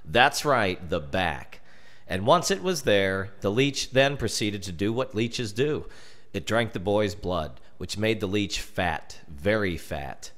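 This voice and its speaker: An American man narrating a scripted children's horror story in a deliberate, dramatic storytelling manner. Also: on the low side, expressive, fairly bright tone.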